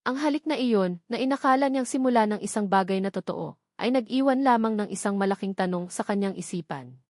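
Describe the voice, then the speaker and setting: A woman's voice: medium pitch, expressive, brisk, darkish tone, no echo. Filipino woman narrating dramatic romance, dry close sound.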